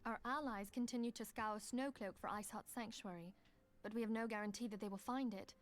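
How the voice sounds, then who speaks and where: A woman's voice: a little high, steady tone, a little fast, natural voice. A woman playing a grave, resolute leader in a fantasy video game, speaking formally and solemnly.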